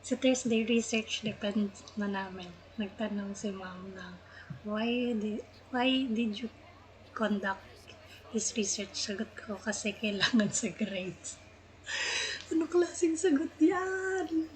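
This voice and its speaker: A woman's voice: medium pitch, takes it slowly, slight background noise, poor audio. A young adult woman with a Filipino accent, chatting casually as she streams a game. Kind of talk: casual live-stream chat while gaming.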